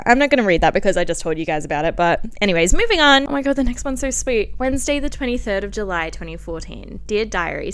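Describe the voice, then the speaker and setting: A woman in her twenties with an Australian accent, hosting a podcast in a casual, confessional, self-mocking and animated way as she tells stories from her own life. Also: on the high side, smooth. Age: 20s. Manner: animated, self-mocking, giggly asides, frequent "like". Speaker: podcast host. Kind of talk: casual, confessional podcast storytelling and diary reading.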